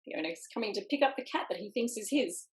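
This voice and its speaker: A woman reading a picture book aloud to children over a video call, expressively, with dramatic pauses and little asides. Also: medium pitch, lively intonation. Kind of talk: animated children's storytime reading with quiet asides. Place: indoors, online video call in an echoey room. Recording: roomy echo on video call.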